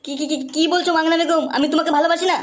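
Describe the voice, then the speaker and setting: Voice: very high-pitched, fairly even intonation, rapid-fire, a little hiss or hum. A performer voicing a lovestruck, gullible male suitor in a comic cartoon dub, eager and exclamatory. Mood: alarmed.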